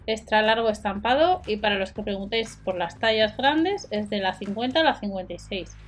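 A woman talks viewers through a shopping catalogue, chatty and direct, reeling off prices and sizes. Her voice sits at a middle pitch. Faint music plays under the voice-over. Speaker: shopping video host. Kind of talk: narrated product walkthrough. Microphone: voice-over with a desk microphone.

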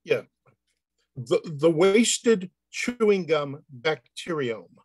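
A man's voice: a little high, very animated, takes it slowly, breathy edge, no room sound. A man reading out a research paper at an online event on a video call. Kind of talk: reading out a paper title with asides.

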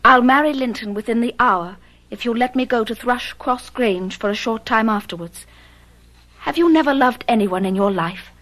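A woman's voice: a little high, normal speed, fairly bright tone, natural voice, poor audio. An actress playing a spirited, defiant but tender-hearted young lady in a radio drama, speaking earnestly. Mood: pleading, resolute.

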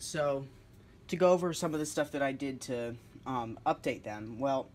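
Casual man explaining, noisy room recording. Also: mid-range voice. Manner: informal, explanatory, unscripted. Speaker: hobbyist video creator demonstrating two-way radios. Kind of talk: casual demonstration narration. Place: indoors, kitchen-like room with countertop.

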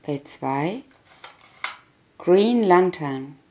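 A woman's voice: lowish voice, lively intonation, very slow, mellow, dull audio. A woman doing a scripted reading of a children's picture booklet, announcing short captions one by one. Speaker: reader.

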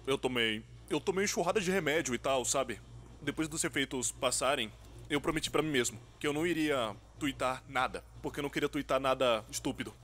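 A Brazilian man talks in a casual vlog manner. His delivery is low-key and slightly hesitant, rambling along informally as he tells personal anecdotes. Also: fairly bright tone, slight background noise. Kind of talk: casual, rambling chat, dubbed over vlog footage.

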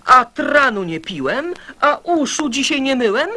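Indignant lazy boy, huffy, slow, bright, radio play for children. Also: high voice, big pitch swings, exaggerated voice. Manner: huffy, protesting. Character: indignant lazy young boy making excuses.

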